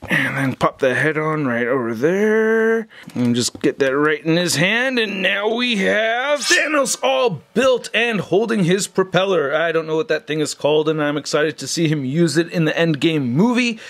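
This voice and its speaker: A man's voice: fairly high pitch, expressive, normal speed, a bit bright. A man hosting a casual, unscripted review video, talking in a chatty, informal, slangy way. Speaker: toy review video host.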